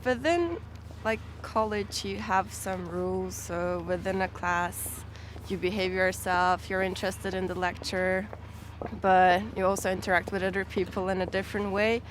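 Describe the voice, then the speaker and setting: A woman student giving a measured answer in a street interview. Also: mid-range voice, fairly even intonation, slight background noise.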